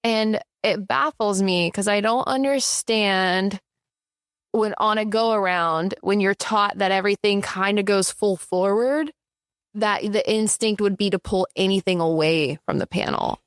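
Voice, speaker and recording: A woman co-hosting a podcast in casual chat, an outspoken flight instructor who talks with animated emphasis. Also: medium pitch, unhurried, clean tone, no room sound, clean studio sound.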